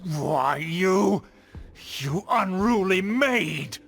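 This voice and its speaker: A man's voice: high-pitched, lively intonation, very slow, vocal fry, fairly bright tone, soft background music, exaggerated voice. A man playing a pompous, indignant older noble uncle in a video game, haughty, formal and theatrical. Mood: aghast.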